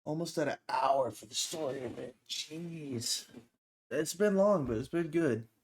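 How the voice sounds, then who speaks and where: A man's voice: on the high side, a little slow, a little hiss or hum. A young man giving casual live commentary as a gaming streamer. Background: faint game music.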